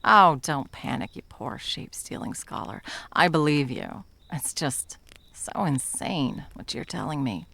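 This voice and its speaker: A woman's voice: very deep voice, lively intonation, measured pace, vocal fry, fairly bright tone, full character voice. A woman playing a wry, menacing monster hunter who is sadly in love, in intimate ASMR roleplay with a theatrical, sardonic delivery that dips into whispers. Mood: amused disbelief.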